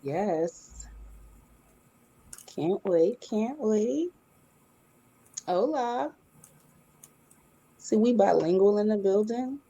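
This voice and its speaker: A woman's voice: mid-range voice, lively intonation, drawn-out words, pauses often, warm tone, slightly rough, lo-fi sound. A woman co-hosting a casual live spiritual chat, laid-back and slangy in how she talks.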